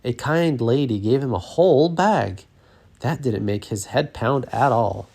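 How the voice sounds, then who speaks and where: A man narrating a children's story as an expressive read-aloud. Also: mid-range voice, a little slow, gravelly.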